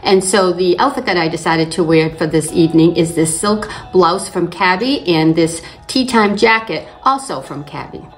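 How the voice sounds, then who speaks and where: An older woman hosting a beauty channel, slightly raspy from allergies, calmly explaining each makeup step in front of a fixed camera. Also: lowish voice, normal speed, hardly any pauses, soft background music. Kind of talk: makeup tutorial narration. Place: indoors in front of a plain backdrop.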